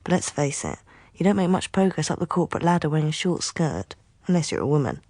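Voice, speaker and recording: A woman's voice: sits low, sing-song, fast, warm tone. An English actress playing a tough, sardonic female private investigator in a radio drama, with dry delivery. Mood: confiding, wry. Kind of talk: radio drama acting with first-person narration.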